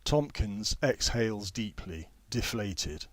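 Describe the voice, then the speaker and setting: A man's voice: on the low side, expressive, slow, dry recording. A man reading his own fiction aloud as scripted audiobook narration. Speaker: author reading own story.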